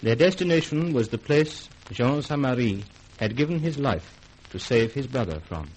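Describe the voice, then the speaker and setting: A male actor narrating a radio drama in a grave, measured, scripted storytelling manner. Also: medium pitch, expressive, darkish tone, slight background noise, natural voice, poor audio. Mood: solemn. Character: solemn radio play narrator.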